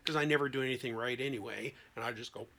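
Male therapist podcast guest, mid-pitched with vocal fry, emphatic conversational storyteller. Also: fairly even intonation, rapid-fire, pushed and bright. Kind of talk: conversational explanation with anecdotes and acted-out quotes.

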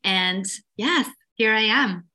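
Bright-voiced Austrian woman guest. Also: mid-range voice, animated, slow pace. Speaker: expat coach, guest. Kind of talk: interview answers.

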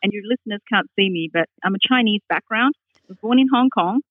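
A woman's voice: medium pitch, quick pace, no room sound. A middle-aged woman with an Australian accent, a public speaking coach answering interview questions in an articulate, upbeat way. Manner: articulate, upbeat, laughs readily. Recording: tinny phone line, dry.

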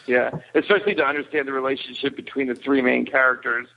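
A man's voice: mid-range voice, steady tone, fast, gravelly, dull audio. A man chatting casually as a podcast guest, giving his opinions in a review.

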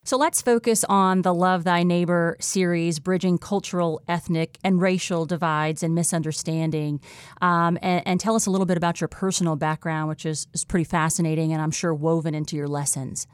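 A woman's voice: lowish voice, steady tone, fairly quick, clear, resonant tone, no room sound. A woman hosting a podcast interview, putting friendly, inviting questions to her guest. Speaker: podcast host.

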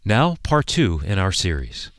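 A man's voice: sits low, very animated, unhurried. A man announcing a radio program, reading a scripted introduction. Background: music.

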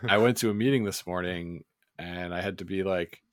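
A man with a low voice that is a little scratchy. He talks casually as a podcast co-host, and the recording is dry and free of room echo. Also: moderate pace, smooth. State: slightly scratchy voice from yelling while curling. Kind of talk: casual chat.

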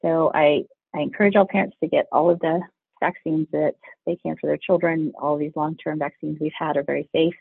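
A pediatrician answering interview questions with a hoarse, husky voice, earnest and explanatory. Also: male voice, a little high, fairly even intonation, a little fast, no room sound. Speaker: pediatrician guest. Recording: tinny phone line, dry.

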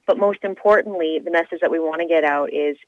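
A woman's voice: low voice, quick pace, no echo. A woman speaking in an upbeat, emphatic, conversational way as an interview guest over the telephone. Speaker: radio show personality being interviewed as charity event organizer. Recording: dull lo-fi telephone line.

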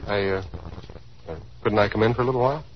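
An actor playing a courteous, interested young gentleman suitor in a 1930s radio drama, speaking in a polite, easygoing way. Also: on the low side, quick pace, natural voice. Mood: curious, eager. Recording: dull, hissy 1930s radio recording.

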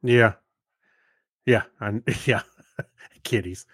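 A man's voice: fairly low pitch, steady tone, drawn-out words, fairly bright tone, breathy edge, no room sound, studio quality. A man chatting casually as a podcast co-host in an easygoing, agreeable way. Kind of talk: casual chat.

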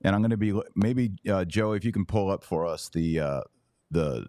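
Deep-voiced man with lively swings in pitch. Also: normal speed, no echo, studio quality. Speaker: podcast host. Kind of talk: casual interview chat.

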